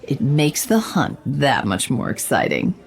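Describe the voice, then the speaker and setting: An actress playing a confident, sly investigative reporter in a noir-style audio drama, with a wry, knowing and measured delivery. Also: female voice, a bass voice, big pitch swings, gravelly, full character voice. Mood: sly, amused. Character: confident, sly female investigative reporter narrating her case.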